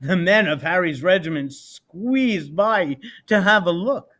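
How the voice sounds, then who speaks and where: A man giving an expressive, deliberate read-aloud of a children's story. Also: high-pitched. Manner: animated, deliberate. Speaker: storybook reader, teacher.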